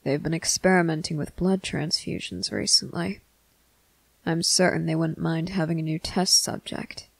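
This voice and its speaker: A performer playing a stern, protective male vampire officer in an ASMR roleplay, speaking deliberately and sometimes hushed, with a commanding manner. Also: mid-range voice, fairly even intonation, gravelly, no room sound. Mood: stern, menacing. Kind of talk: ASMR roleplay addressed to the listener.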